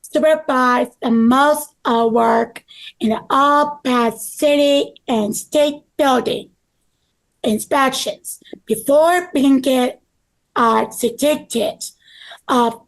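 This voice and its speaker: A woman reading the part of an earnest, careful construction manager in a halting, deliberate way at a table read. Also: sits high, poor audio. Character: earnest construction project manager named Bob, calm and careful. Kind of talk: table read of a scripted story.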